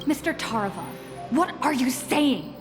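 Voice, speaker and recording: An earnest young envoy. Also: female voice, high voice, animated, a little slow. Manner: earnest, formal. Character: earnest young female envoy pleading before a council. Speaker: game character. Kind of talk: video game voice acting. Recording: long reverb over music.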